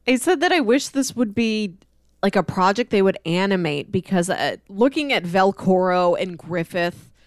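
A woman talks as a podcast co-host in casual, conversational discussion. Her voice sits at a middle pitch and is recorded dry, with no room sound around it. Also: animated, unhurried, no room sound. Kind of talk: casual comic-book discussion.

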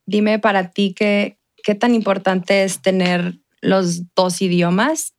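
A woman's voice: on the low side, gravelly, dry recording, studio quality. A woman from the Mexican border region hosting a podcast, asking questions and encouraging her guest with frequent murmurs of agreement. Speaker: podcast host. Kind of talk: casual interview.

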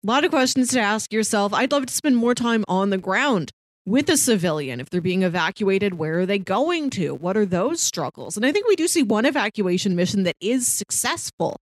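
A woman's voice: mid-range voice, big pitch swings, moderate pace, no room sound. A woman chatting casually and enthusiastically about films as a podcast co-host. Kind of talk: casual film discussion chat.

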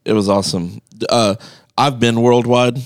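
A man's voice: medium pitch, steady tone, moderate pace, clean tone, no echo, studio quality. A man from the UK co-hosting a podcast, chatting upbeat and often laughing. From United Kingdom. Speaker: podcast co-host. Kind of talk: casual conversation.

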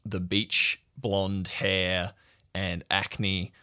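Male game master, lowish but bright voice, halting improvised scene-setting over faint music. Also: level tone. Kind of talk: improvised narration of a tabletop game.

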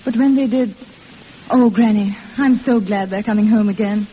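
A woman's voice: medium pitch, normal speed, close to an everyday voice. An actress playing an eager, lovestruck young woman in a 1940s radio drama, breathless and earnest. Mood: earnest, yearning. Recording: tinny, noisy old radio recording.

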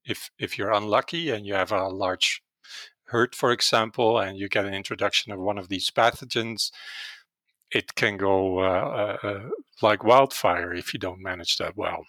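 A middle-aged man with a Dutch accent explains calf disease as a podcast guest, hesitating often with fillers. His voice is bright and a little low, and it sits dry and close to the mic with no room sound. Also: no echo. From Netherlands. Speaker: veterinary virology professor, guest. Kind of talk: podcast interview.

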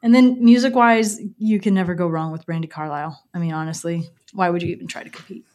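A woman's voice: on the low side, fairly even intonation, fast talker, a little mellow. A woman chatting casually as an interview guest, candid and playful, peppering her speech with "like". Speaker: podcast guest, company co-founder. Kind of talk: casual chat.

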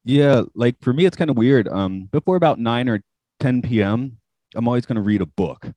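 A man's voice: mid-range voice, normal speed, mellow, no room sound. A man with an American accent hosting a podcast, musing in a laid-back, anecdotal, casual chat. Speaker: podcast host.

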